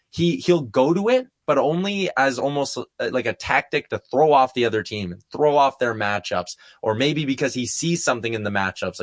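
A man's voice: lowish voice, a bit bright, no echo, studio quality. A man giving wordy, enthusiastic, opinionated hockey analysis in a casual sports show chat.

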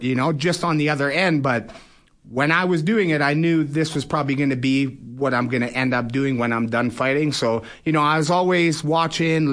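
A man's voice: medium pitch, a bit bright. Casual man, a fighter talking shop. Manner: informal, frequent "you know" tags. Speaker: guest, fighter turned fight promoter. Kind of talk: casual sports interview.

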